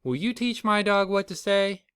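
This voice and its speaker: Man reading as an excitable, earnest young child. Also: high-pitched, normal speed, clean tone, dry recording, voice pushed up. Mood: eager. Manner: eager, pleading, exclaiming.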